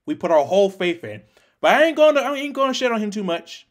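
Young guy doing casual reaction commentary, slangy, pretty high voice, swings around a lot. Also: sing-song, normal speed. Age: young adult. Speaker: anime reaction host. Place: small room at home, indoors.

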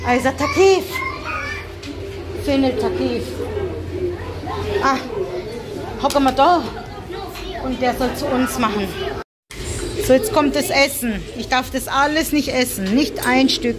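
A chatty, bossy middle-aged woman from Germany with a high voice talks into a phone held at arm's length. She drops Arabic words into her casual chat, and loud crowd and traffic noise from a busy street fill the background. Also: slow, long pauses. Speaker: vlogger. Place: busy city street and restaurant, outdoors and in. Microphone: handheld phone at arm's length.

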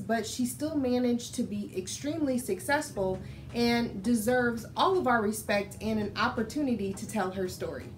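An American woman in her thirties hosting a children's storytime, speaking in an instructive, expressive read-aloud style. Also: on the high side, slight background noise, poor audio. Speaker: children's storytime host and reader. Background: music.

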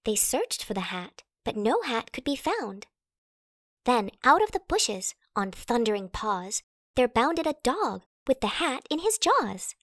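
Animated high-voiced woman reading a storybook. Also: unhurried, dry recording, studio quality. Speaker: storybook narrator. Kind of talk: expressive children's read-aloud in rhyme.

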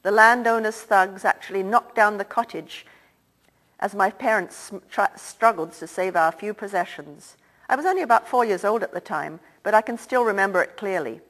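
A woman's voice: mid-range voice, normal speed, a bit bright, close to an everyday voice, poor audio. A woman reading the part of an older, wealthy Irish-born theatre man who talks in a confiding, lilting way. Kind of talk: audiobook character reading.

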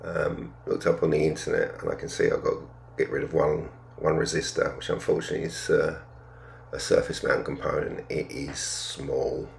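An older man talking casually through a hobby vlog, explaining things in understated, hesitant, piecemeal phrases with a gravelly voice. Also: very deep voice, animated, normal speed, a little hiss or hum. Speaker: amateur radio hobbyist vlogger.

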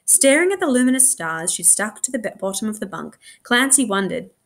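A middle-aged woman reading a children's novel aloud in an expressive storytelling style. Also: medium pitch, fairly quick, gravelly. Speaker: reader of children's books.

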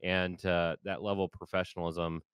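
Deep-voiced man hosting a podcast. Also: a little fast, smooth, no room sound. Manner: relaxed, thinks aloud with fillers. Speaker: podcast host. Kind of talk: conversational interview. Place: home office with shelves. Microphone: studio mic on boom arm. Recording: video call.

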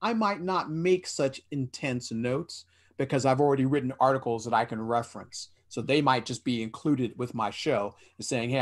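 A man in his forties on a headset at home, speaking earnestly on a video-call panel and often restarting his sentences. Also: medium pitch. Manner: earnest, explanatory, with frequent restarts. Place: small room indoors.

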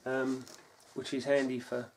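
A British man giving a casual, unscripted rundown of his kit in a low-key, halting way, as if thinking aloud. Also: monotone. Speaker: bushcraft hobbyist presenting his kit. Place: indoors on a wooden floor at home. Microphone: camera mic, a short distance away. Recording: noisy home video, clattering gear. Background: clicks and clatter of handled gear.

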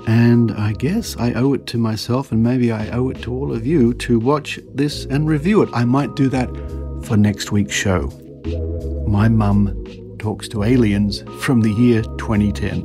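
A man hosting a podcast, reading articles aloud and commenting in a measured, wry manner. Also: mid-range voice, steady tone, music in the background. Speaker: podcast host.

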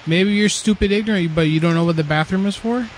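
Man playing obnoxious prank caller, very high for a male, dim and stubborn, insulting, faint noise on the call. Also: fast talker, lightly acted. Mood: pushy, insulting. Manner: blunt, insulting, repetitive, childish. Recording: phone prank call, light background noise.

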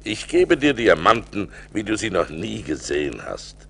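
A man's voice: fairly low pitch, moderate pace, gravelly, husky, full character voice. An actor playing a fearful yet resourceful seafaring adventurer, telling his perils in a theatrical, suspenseful way for an audio play. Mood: reassuring. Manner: theatrical, suspenseful, expressive delivery. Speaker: narrator and lead actor. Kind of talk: dramatic storytelling in a German audio play. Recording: vinyl record transfer.

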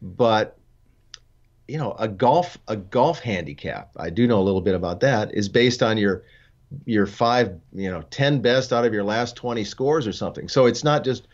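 Older guy on a video call, low-ish voice, thoughtful and measured, kinda muffled audio. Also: lively intonation. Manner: measured, thoughtful, frequent fillers and restarts. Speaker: co-host and racing journalist. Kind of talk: casual discussion show. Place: studio with dark backdrop. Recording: slightly dull lo-fi sound.

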